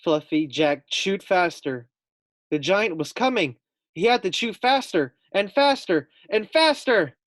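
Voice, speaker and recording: A man reading a children's storybook aloud expressively as a teacher, pausing to put questions to young listeners. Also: high-pitched, expressive, fairly bright tone, no echo, studio quality.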